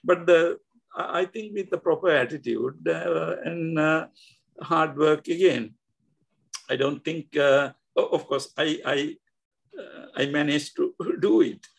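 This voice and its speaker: An older man with a Sri Lankan accent, speaking as an interview guest over a video call, halting with many fillers and restarts. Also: a little high, gravelly. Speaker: guest scholar. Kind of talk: reflective interview talk. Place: indoor home room.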